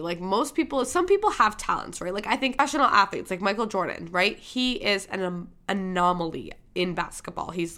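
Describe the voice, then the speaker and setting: A woman's voice: mid-range voice, fairly bright tone. A young woman from Michigan hosting a podcast, talking candidly and emphatically in a casual motivational monologue, swearing freely. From Michigan, USA. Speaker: podcast host.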